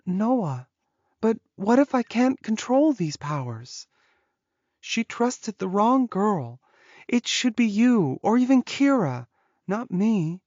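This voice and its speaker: An actress plays a young werewolf woman full of self-doubt in a fantasy audiobook. She speaks slowly and earnestly, with a pleading edge, in a dry, slightly dull recording. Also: mid-range voice, very animated, darkish tone, no echo. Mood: anxious doubt. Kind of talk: dramatized audiobook dialogue.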